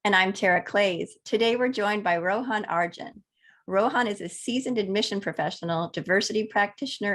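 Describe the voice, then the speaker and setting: A woman co-hosting a podcast, presenting and interviewing a guest. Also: fairly low pitch. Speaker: podcast co-host. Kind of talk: scripted introduction and interview hosting.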